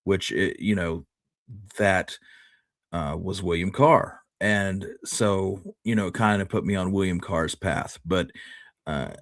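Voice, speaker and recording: A man co-hosting a history show, telling stories conversationally in a measured, halting way and repeating words as he explains. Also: sits low, fairly bright tone, no echo, studio quality. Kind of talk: casual storytelling discussion.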